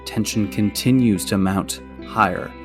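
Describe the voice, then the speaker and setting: A man's voice: fairly low pitch, measured pace. A man reading scripted narration for a podcast trailer. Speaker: promo narrator. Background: plucked guitar music.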